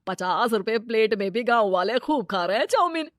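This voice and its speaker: A woman's voice: fairly high pitch, lively intonation, brisk, dry recording, studio quality, close to an everyday voice. A woman voicing a greedy, scheming village moneylender man in a moral story, brash and full of exclamations. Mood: gloating, scheming. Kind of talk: animated moral-story character dialogue.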